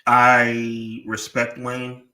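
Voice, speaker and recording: A man's voice: medium pitch, completely flat delivery, drawn-out words, bright, forceful tone. A man co-hosting a live wrestling podcast, chatting in slangy, rambling banter. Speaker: podcast co-host. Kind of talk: casual live-stream chat.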